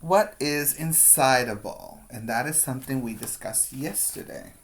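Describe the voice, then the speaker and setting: A man teaching preschoolers, reading a picture book aloud with deliberate, instructional phrasing and short explanatory asides. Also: medium pitch, animated, gravelly. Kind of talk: read-aloud for young children with explanatory asides.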